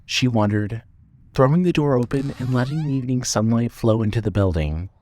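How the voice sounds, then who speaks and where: A man's voice: mid-range voice, a little fast, a little mellow. A man narrating a scripted dramatic story as an audiobook-style narrator.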